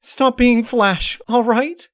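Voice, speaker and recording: A man's voice: very high-pitched, slow pace, dry recording, clean studio sound, voice pushed up. A man voicing a distraught, controlling young female pony princess in a storybook reading, with a pleading, emotionally strained delivery. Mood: upset, pleading. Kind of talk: dramatic storybook character reading.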